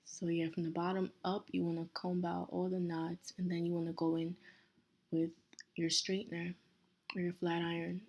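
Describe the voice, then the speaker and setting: A young woman from Nigeria with a Nigerian accent, talking casually through a step-by-step hair tutorial, relaxed and chatty with small self-corrections. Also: lowish voice, normal speed, lo-fi sound.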